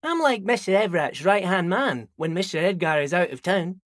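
A man's voice: high voice, expressive, normal speed, fairly bright tone, dry recording, studio quality. An actor playing a friendly, simple-minded old dockworker in a video game, folksy and rambling, repeating himself as he chats. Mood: friendly, chatty. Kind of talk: video game character dialogue.

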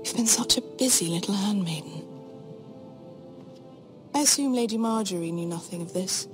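An actress playing a cold, shrewd queen in a fantasy game, imperious and condescending in measured speech. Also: medium pitch, music in the background, close to an everyday voice. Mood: probing, condescending. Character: cold, shrewd, commanding adult queen, veiled threats. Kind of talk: dramatic fantasy game acting.

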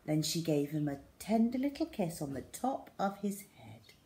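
A woman's voice: sits low, sing-song, normal speed, warm tone. A middle-aged woman reading a children's story aloud expressively. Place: small room indoors at home.

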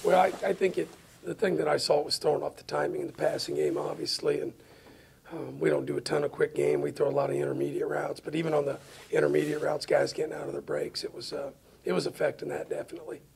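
A middle-aged man with a raspy, gravelly voice, a football head coach answering questions at a post-game press conference. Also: on the high side, little pitch movement, quick pace, darkish tone, a little hiss or hum. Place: indoor press room, seated before a banner.

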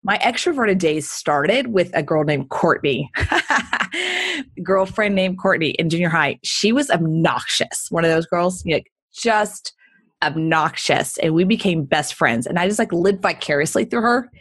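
A woman's voice: on the low side, animated, measured pace, fairly bright tone, slightly rough, dry recording. A woman in her forties from Texas with a neutral accent, telling her story in a casual interview and laughing often. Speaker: guest entrepreneur.

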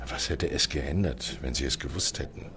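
Radio crime play: middle-aged ambassador, deep voice, courteous but guarded, measured formal delivery, faint noise behind. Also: male voice, bright, forceful tone. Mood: defensive, formal. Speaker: actor. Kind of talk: radio crime drama dialogue.

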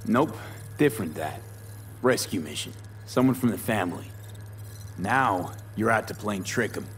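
A man's voice: fairly low pitch, expressive, moderate pace, a bit bright, a little hiss or hum. An actor playing a laconic, streetwise male mercenary in a video game, speaking in a clipped, dry, blunt way. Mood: skeptical, challenging. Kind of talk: dramatic video game acting.

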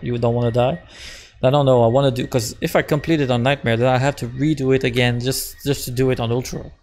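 A man in his thirties, a native French speaker with a Québécois accent, casually chatting and laughing at himself while streaming a game from a small room at home. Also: mid-range voice. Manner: rambling, self-mocking, chuckles often, repeats words. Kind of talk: casual live-stream commentary.

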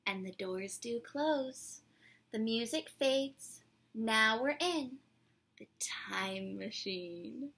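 A young woman with a high, slightly husky voice reads a children's picture book aloud. She takes her time, shaping phrases playfully and with drama. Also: expressive, very slow, fairly bright tone. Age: 20s. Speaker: children's read-aloud presenter. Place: small dim indoor room at home.

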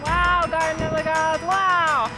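A woman's voice: very high-pitched, measured pace. A bubbly young woman chatting to a handheld camera in a casual travel vlog, with music playing behind her. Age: young adult. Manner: bubbly, excitable. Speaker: travel vlogger. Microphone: handheld camera at arm's length. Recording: loud music over the voice. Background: loud background music.

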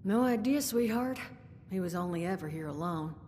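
An actress playing a world-weary, guarded bar owner in a video game, with a dry, worldly way of talking. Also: female voice, animated, normal speed, warm tone, lightly acted. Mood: wry, businesslike. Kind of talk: video game dialogue acting. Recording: reverberant game audio with rumbling noise.

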